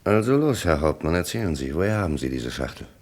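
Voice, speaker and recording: A man's voice: rumbling low, very animated, quick pace, full character voice. A man playing a persistent, probing police commissioner in a radio crime drama, questioning formally and insistently. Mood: pressing. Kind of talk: German radio play dialogue acting.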